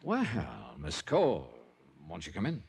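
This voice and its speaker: An actor playing a shrewd, wry, hardboiled private investigator with an American accent in an old-time radio detective drama. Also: male voice, fairly low pitch, wildly swooping pitch, a little slow, background noise, heavily acted. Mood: polite. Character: shrewd, sardonic male private investigator narrating his case. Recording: vintage radio recording with music.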